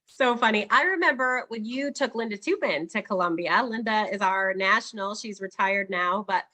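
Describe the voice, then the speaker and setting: A woman's voice: mid-range voice, moderate pace, pushed and bright. A woman hosting a casual online chat, laughing often and encouraging her guest over a video call. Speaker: host.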